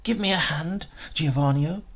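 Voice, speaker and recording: A man's voice: on the high side, normal speed, a bit bright. A man voicing a trusting, fearless little girl in a storybook, speaking in a simple, curious manner. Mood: asking for help.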